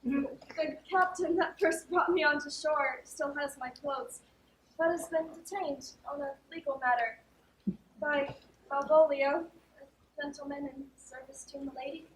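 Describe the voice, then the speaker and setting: A woman's voice: sits high, steady tone, a little slow. A woman playing an earnest, well-spoken noblewoman in a theatrical stage comedy. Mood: composed. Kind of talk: theatrical stage acting of classic verse comedy. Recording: echoey stage, distant mic, faint noise.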